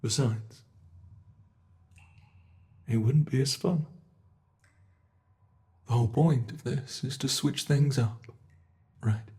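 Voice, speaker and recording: A gravelly, unhurried monster from under the bed, as a British man acts him out, toying with his victim in a cruel, gleeful voice. He drawls each taunt slowly, with a low rasp, in an ASMR horror roleplay. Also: dark tone. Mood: menacing taunting. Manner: slow, taunting, gleeful cruelty with bursts of laughter. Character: sadistic immortal male monster under the bed.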